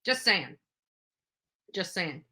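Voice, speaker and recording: A woman's voice: lowish voice, very animated, drawn-out words, bright, forceful tone. A woman giving unscripted, opinionated livestream commentary, emphatic and accusatory, repeating phrases for force. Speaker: livestream commentator on true crime cases.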